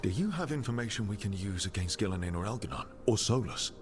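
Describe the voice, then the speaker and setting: A man's voice: fairly low pitch, animated, quick pace, lightly acted. A man playing a curious, down-to-earth fantasy adventurer in a video game, plain-spoken and conversational. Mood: direct, inquiring. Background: prominent music.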